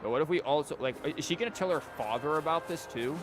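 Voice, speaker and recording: A man's voice: on the high side, lively intonation, fast. A man doing casual, rambling gameplay commentary as a streamer, with joking asides. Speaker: game streamer. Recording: loud music behind the voice. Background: game music.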